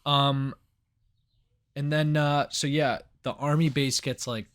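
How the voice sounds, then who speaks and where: A man's voice: on the high side, little pitch movement, slow, a bit bright, clean tone. A man co-hosting a casual movie review podcast, laid-back, swearing often and saying "like" a lot.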